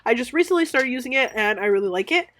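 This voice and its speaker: A woman's voice: a little high, lively intonation, fast talker, a bit bright, slight background noise. A young woman with a congested, stuffed-up voice from being sick, chatting through a casual nail-tutorial voice-over at home, with lots of ums and self-corrections. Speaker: nail art creator.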